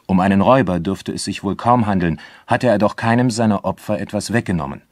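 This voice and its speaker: Deep male voice, sober crime narrator acted by a man, measured, formal, dry studio sound. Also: no echo, close to an everyday voice. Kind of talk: scripted documentary narration.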